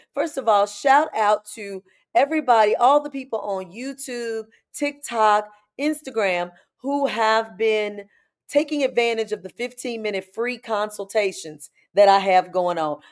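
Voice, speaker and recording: A woman with a hoarse, raspy voice, hosting a casual live-stream chat as a spiritual coach and talking familiarly to her viewers. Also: on the high side. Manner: chatty, familiar, calls viewers "baby" and "y'all".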